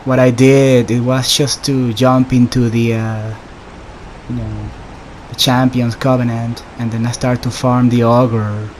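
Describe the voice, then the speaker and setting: A man giving casual, halting commentary as he plays through a video game, often pausing mid-thought. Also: mid-range voice, little pitch movement, a little mellow, a little hiss or hum, poor audio. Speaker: gamer narrating a solo playthrough.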